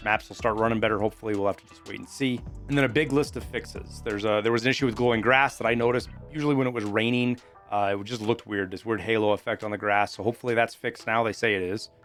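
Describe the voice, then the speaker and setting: A man's voice: on the low side, steady tone, quick pace, few pauses, fairly bright tone. Casual gaming news man over music. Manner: informal, conversational, explanatory. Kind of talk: casual video game news commentary. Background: background music.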